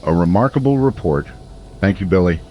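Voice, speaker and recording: An actor playing a grave late-night radio host, reporting on a crisis in a solemn, measured and deliberate way. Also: male voice, low voice, animated, faint music behind. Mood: grave, urgent. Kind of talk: dramatic radio news narration. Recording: clear background noise, muffled lo-fi sound.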